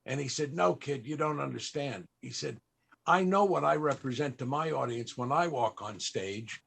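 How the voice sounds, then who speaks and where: A man hosting a panel, telling a personal story in a halting, reflective and emotional way. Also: medium pitch, gravelly, fairly bright tone, slightly rough. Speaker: panel host and entertainer. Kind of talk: storytelling at a live interview panel.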